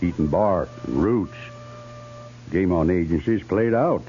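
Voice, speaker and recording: A man's voice: low voice, measured pace, dark tone. An actor playing a weathered old American mountain man and scout, plain-spoken and proud, with a laconic backwoods drawl, in a 1950s radio western. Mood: grave, pleading. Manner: laconic, folksy. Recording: muffled 1950s radio recording with hum.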